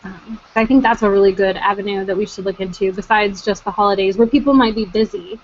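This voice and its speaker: A woman's voice: mid-range voice, fairly even intonation, quick pace, a little hiss or hum. A woman coordinator hosting an online group meeting, talking casually with many ums and uhs. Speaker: network coordinator, meeting host. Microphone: video call. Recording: dull lo-fi video call audio.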